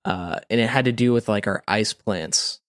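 A man's voice: lowish voice, normal speed, dry recording, clean studio sound. A man co-hosting a podcast, telling a story in casual chat, rambling informally with plenty of "like" and "you know". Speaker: podcast co-host.

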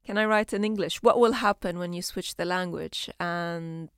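A low-pitched woman with a gravelly edge, raised in Syria and speaking English as a third language after Arabic. She talks reflectively in an interview, often repeating and restarting words. The close, dry sound has little room in it. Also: normal speed, no room sound. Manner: reflective, frequent restarts and repetitions. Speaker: children's book author and illustrator. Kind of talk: conversational interview.

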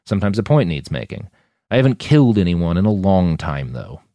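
A man performs a wary old soldier who has sworn off killing, in an audiobook. He is skeptical and wry, his low voice moving expressively in a dry, close recording. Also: very animated, a little fast, dark tone, no echo. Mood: dryly matter-of-fact. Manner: skeptical, dryly matter-of-fact. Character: wary, wry, reformed male killer, superhuman old soldier. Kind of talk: audiobook character dialogue.

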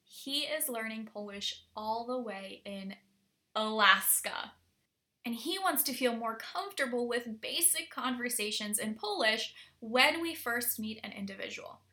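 A woman hosting a language lesson podcast, upbeat and energetic as she introduces the episode. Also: a little high. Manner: upbeat and energetic in the intro, slow and deliberate when modelling phrases. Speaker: podcast host and Polish teacher.

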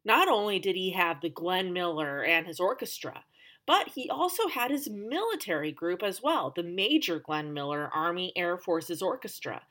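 A woman's voice: lowish voice, lively intonation, normal speed, a bit bright. A woman hosting a podcast, telling a story in a conversational scripted narration with emphatic, dramatic phrasing and chatty asides. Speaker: podcast host.

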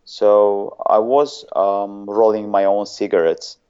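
Man, Azerbaijani accent, smooth deep voice, hesitant guest. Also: flat delivery, slow, a little mellow, dull audio. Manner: hesitant, often searching for words. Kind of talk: casual interview chat.

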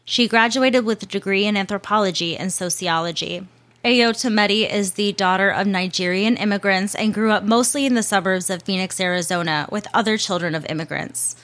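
A woman's voice: mid-range voice, fairly bright tone. A woman hosting a show, reading a scripted narration in a casual way with chatty asides and the odd swear word.

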